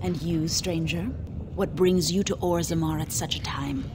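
Brief: actress as a proud, solemn dwarf noblewoman in a fantasy game; lowish voice, measured delivery. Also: steady tone, background noise, lightly acted. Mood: grave. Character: proud young female dwarf noblewoman, grave. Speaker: game character. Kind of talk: video game voice acting. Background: game music.

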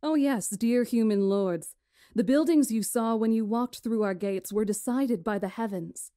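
A woman playing a poised, commanding witch who tells history in a formal, deliberate way for a visual novel. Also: a little high, dark tone, no echo, studio quality, lightly acted. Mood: solemn storytelling. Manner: formal, deliberate, imperious storytelling. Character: poised, commanding witch storyteller lecturing a council.

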